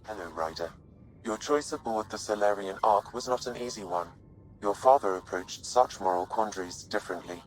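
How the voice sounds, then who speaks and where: An actor playing a polite, curious artificial intelligence in a video game, speaking formally with measured, precise phrasing. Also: male voice, on the low side, a little hiss or hum, natural voice, low-quality recording. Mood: calm, contemplative.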